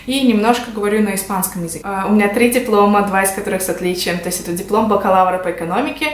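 A woman's voice: medium pitch, fairly even intonation, racing. A woman in her twenties from Russia, talking casually to the camera as she explains things in a vlog. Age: 20s. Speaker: vlogger. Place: small indoor room at home. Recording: echoey home room.

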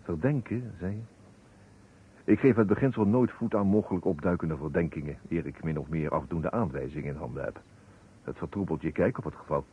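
A man playing a shrewd, courteous investigator in a Dutch radio drama, speaking formally and deliberately. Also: low-pitched, breathy edge. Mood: measured, insistent. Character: shrewd, courteous male investigator, probing and methodical. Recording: tinny, noisy old radio recording.

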